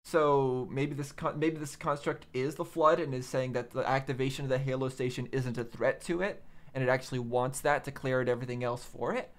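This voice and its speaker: A man's voice: medium pitch, fairly even intonation, fairly quick. A man hosting a reaction video, giving casual game commentary as he questions and puzzles things out aloud.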